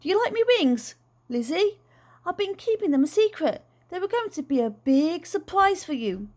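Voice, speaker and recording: A woman reading aloud and voicing a giddy, reckless middle-aged father obsessed with flying, who shouts and giggles in a much higher voice than her own. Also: big pitch swings, normal speed. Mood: boastful.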